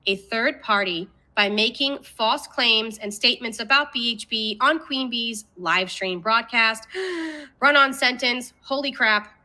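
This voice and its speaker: A woman's voice: on the high side, bright, forceful tone. A woman reading a document aloud in an emphatic, theatrical way with jokey casual asides.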